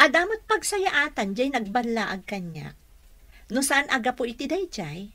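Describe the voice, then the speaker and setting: A woman's voice: a little high, sing-song, measured pace, sharp tone, no room sound, full character voice. A woman with an Ilocano accent playing a friendly, chatty young woman in a radio comedy-drama. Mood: confiding.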